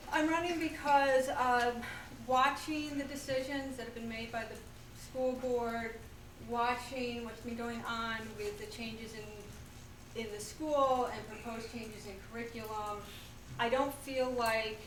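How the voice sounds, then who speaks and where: A woman in her thirties giving an earnest public comment at a town meeting, with some halting fillers. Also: fairly high pitch. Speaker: school board candidate. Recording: echoey room from far off, noisy.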